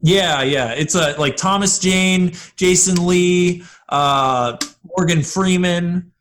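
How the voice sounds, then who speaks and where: A man's voice: sits high, takes it slowly, fairly bright tone, slight background noise. An American man from Florida chatting casually, slangy and given to swearing. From Florida, United States. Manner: filler-heavy slangy talk, swears casually. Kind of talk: casual chat.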